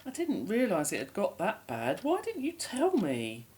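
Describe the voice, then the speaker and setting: Actress as tired middle-aged mom, grave and resigned, radio play thing, bit of noise behind. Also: female voice, mid-range voice, wildly swooping pitch, measured pace, darkish tone. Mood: remorseful. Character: weary middle-aged mother, remorseful, confessing family secret. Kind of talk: audio drama acting.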